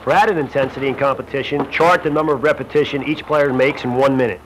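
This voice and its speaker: A man's voice: mid-range voice, steady tone, fast. A man reading scripted narration for an instructional basketball film, as a shooting instructor. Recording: noisy old Super 8 film soundtrack.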